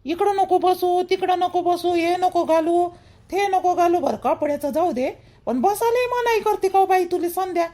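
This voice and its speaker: A woman's voice: very high voice, normal speed, warm tone. A woman voicing a middle-aged, protective, gossipy mother-in-law in a village cartoon, emphatic and repetitive, with a Vidarbha Marathi accent. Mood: surprised, probing. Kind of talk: rural family cartoon dialogue.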